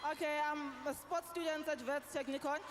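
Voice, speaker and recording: A young woman contender, excited and shouting her answers. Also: sits high, moderate pace, slight background noise. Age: young adult. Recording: echoey arena crowd.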